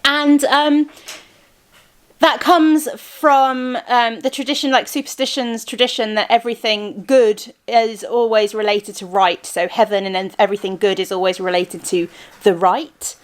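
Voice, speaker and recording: A woman's voice: a little high, fairly even intonation, measured pace. A woman hosting a family vlog, chatting casually and explaining things in an informal way. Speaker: vlog host.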